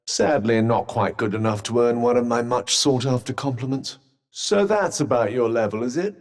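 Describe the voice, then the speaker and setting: Reverberant, haughty British magical cuff. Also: male voice, medium pitch. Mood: withering, critical. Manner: pompous, droll, formal wording. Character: haughty, sardonic talking magical cuff, refined male companion. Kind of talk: scripted video game companion dialogue.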